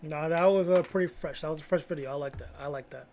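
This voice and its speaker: Slangy young male streamer with a creaky voice. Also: fairly high pitch, fast talker, darkish tone. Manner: slangy, repeats phrases. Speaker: live streamer reacting to videos. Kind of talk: casual livestream chat.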